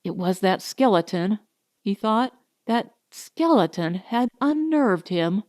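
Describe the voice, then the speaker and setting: A woman reading a science fiction audiobook as a dramatic narrator, with deliberate, suspenseful, weighted phrasing. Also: mid-range voice, lively intonation, darkish tone, no room sound, studio quality.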